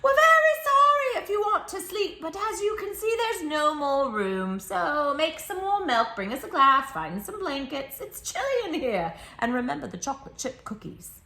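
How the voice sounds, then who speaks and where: Woman voicing high, bossy, cheeky storybook animals. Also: big pitch swings, normal speed, few pauses, sharp tone, exaggerated voice. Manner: bossy, mock-polite requests. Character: cheeky crowd of bed-hogging animals, bossy, apologetic. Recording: roomy echo, faint music behind.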